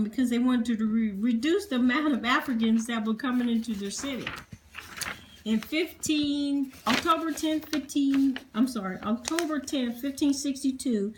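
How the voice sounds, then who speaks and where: A woman presenting history informally, reading from notes in a halting way and spelling out difficult words. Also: on the high side. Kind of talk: informal lecture reading dated notes aloud.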